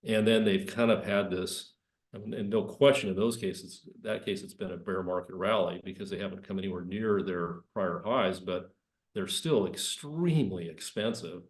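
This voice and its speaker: Man with a cold, low voice. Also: normal speed, echoey room. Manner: conversational, rambling with restarts. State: has a cold. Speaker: investment presenter. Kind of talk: market commentary.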